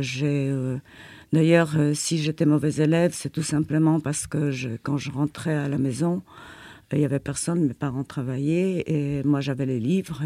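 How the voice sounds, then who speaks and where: A woman's voice: deep voice, creaky, dark tone, no room sound. An older woman, not a native French speaker, answering interview questions in a reflective, hesitant way with many fillers and repeated words. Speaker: interviewee, former journalist.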